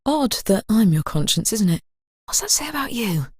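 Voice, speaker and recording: A woman's voice: mid-range voice, very animated, normal speed, husky, no room sound, studio quality. A woman voicing a sly, sinister disembodied narrator in a video game, arch and conspiratorial in manner. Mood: sly, teasing. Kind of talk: video game character voice acting.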